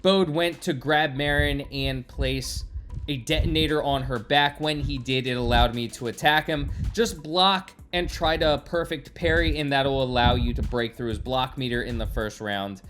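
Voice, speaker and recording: A man's voice: mid-range voice, steady tone, normal speed, a bit bright, faint music behind. A man hosting a gaming video, explaining play in a plain tutorial narration. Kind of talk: scripted gameplay tutorial narration.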